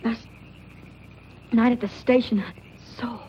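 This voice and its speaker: A woman's voice: on the high side, fairly even intonation, slow. A woman playing a frightened young boy, pleading and tattling in an urgent way, in 1940s radio thriller style. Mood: scared, confessing. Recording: dull, noisy 1940s radio recording.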